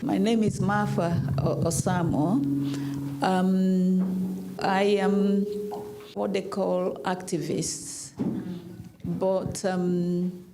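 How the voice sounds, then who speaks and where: Very slow, creaky older woman. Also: mid-range voice, little pitch movement, music in the background. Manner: halting, deliberate phrasing. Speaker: community activist. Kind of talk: personal statement to interviewer.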